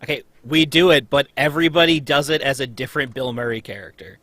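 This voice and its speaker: A man's voice: mid-range voice, fast, noisy background. A man chatting casually and telling little anecdotes among friends on a livestream.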